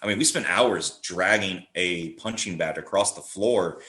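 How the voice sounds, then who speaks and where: A man's voice: deep voice, a little slow, sharp tone. A man speaking as a guest playwright in a casual podcast chat, explaining things by acting out examples, recorded with roomy echo. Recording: roomy, echoey sound.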